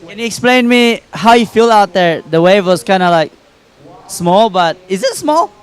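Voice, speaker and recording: A man interviews an athlete at the event, his voice high and notably smooth. He keeps his questions short, friendly and simple. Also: expressive, moderate pace.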